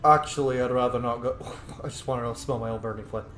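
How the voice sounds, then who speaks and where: A man's voice: mid-range voice, steady tone, quick pace, fairly bright tone. Casual man gaming in a roomy space. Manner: offhand, muttering asides, swearing. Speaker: gamer, let's player. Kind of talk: casual gameplay commentary and roleplay. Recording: roomy mic with game music behind.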